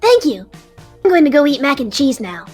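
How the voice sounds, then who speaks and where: A woman's voice: high-pitched, sing-song, normal speed, darkish tone, soft background music. A woman playing a childish, sulky dragon in an audio drama, with a whiny, dramatic delivery. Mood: sheepish. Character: childish card-playing dragon, hungry, sulky, easily flustered.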